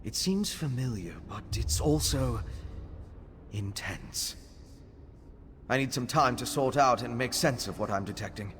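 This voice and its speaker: A cautious, scholarly man of the night in a fantasy game. His medium-pitched voice explains things in a measured, thoughtful way. It carries long hall reverb with faint noise behind it. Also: animated, a bit bright. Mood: thoughtful, wary. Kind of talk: video game character acting.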